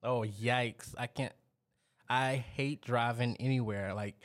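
A man's voice: medium pitch, steady tone, takes it slowly. A young man hosting a podcast interview, upbeat and casual, who often says "like". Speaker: podcast host.

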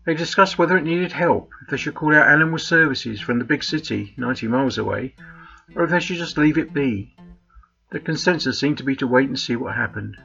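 A man's voice: medium pitch, animated, a little fast, creaky, sharp tone, dull audio. A man reading a short story aloud as scripted narration. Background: faint plucked guitar music.

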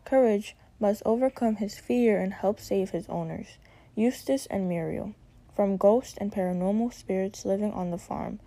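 A woman's voice: medium pitch, moderate pace, mellow. A young woman hosting a casual chat, describing her fears and a cartoon in a chatty, vivid way. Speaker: host. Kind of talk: casual talk.

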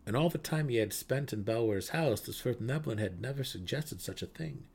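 A man's voice: medium pitch, lively intonation, normal speed, creaky, darkish tone, slightly rough. A man giving a dramatic narration of a fantasy novel as an audiobook reader. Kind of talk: dramatic reading of fantasy fiction.

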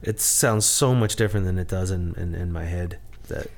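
A man co-hosting a podcast in casual chat, rambling and self-deprecating with halting restarts. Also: deep voice. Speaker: podcast co-host.

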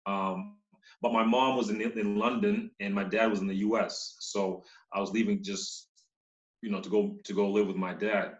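A fairly low-voiced man from Uganda tells his story casually as an interview guest. He often halts and repeats words. The recording is roomy and echoey, with a slightly dull sound. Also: flat delivery, moderate pace. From Uganda, moved to Massachusetts as a child. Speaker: interview guest, company CEO.

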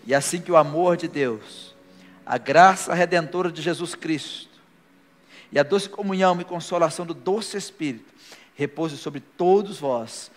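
Preaching man in a reverberant church. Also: high-pitched. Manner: preaching, rising to fervent shouted declarations. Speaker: pastor. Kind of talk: sermon and closing prayer. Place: church hall, live before a congregation. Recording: long hall reverb with faint music.

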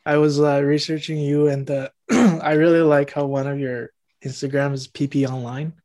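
A man's voice: fairly high pitch, little pitch movement, normal speed, a little mellow. Easygoing male interview host. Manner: easygoing, friendly questions with fillers. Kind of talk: casual interview.

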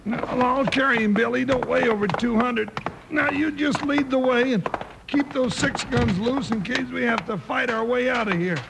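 A very high, gravelly American cowboy sidekick in an old radio western. He is big, jovial and folksy, drawling over noticeable hiss and clicks. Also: normal speed. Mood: gleeful, tough. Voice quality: creaky, high-pitched voice.

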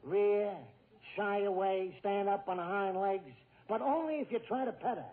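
A man plays a plain-spoken stable keeper with a very high voice. His talk is folksy and colloquial, meant to reassure customers in a radio comedy. The recording is a dull, narrow 1940s broadcast. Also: moderate pace, close to an everyday voice. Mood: reassuring, insistent.

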